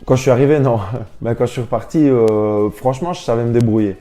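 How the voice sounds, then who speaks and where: A man's voice: mid-range voice, brisk, mellow, faint music behind. A young man talking informally and reflectively in an interview as a professional athlete, often repeating words as he builds his sentences. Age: young adult. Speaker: professional basketball player, interview guest. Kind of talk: casual interview talk.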